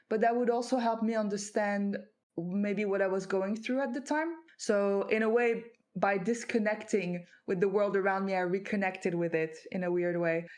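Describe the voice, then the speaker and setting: A woman from France whose first language is French, talking as an interview guest. Her voice sits in a middle pitch, and her delivery is reflective and unhurried. She pauses on fillers as she gathers her thoughts. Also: darkish tone. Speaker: guest author. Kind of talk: conversational interview.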